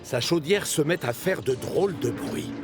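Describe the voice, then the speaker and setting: A man narrating a children's cartoon in a lively, expressive storytelling manner. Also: fairly high pitch, moderate pace. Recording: narration over music.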